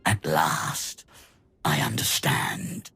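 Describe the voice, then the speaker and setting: An actor playing an ancient, evil emperor spirit in a video game, scornful and theatrical, with a gravelly rasp. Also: male voice, sharp tone. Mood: mocking. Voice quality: gravelly, raspy. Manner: slow, theatrical, contemptuous. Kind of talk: video game villain acting.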